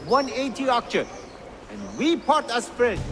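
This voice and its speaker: A man's voice: very high voice, very animated, measured pace, music in the background, exaggerated voice. A man playing a wheedling, theatrical bazaar merchant in a video game, haggling with salesman's effusiveness. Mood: aggrieved, haggling. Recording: reverberant, with clear street noise.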